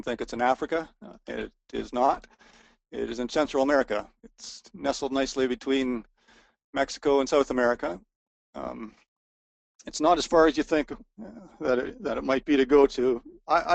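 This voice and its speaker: A man's voice: mid-range voice, gravelly, no echo. A man co-owning a resort presents a webinar in a hesitant, informal way, with many fillers and restarts, his voice wearing out.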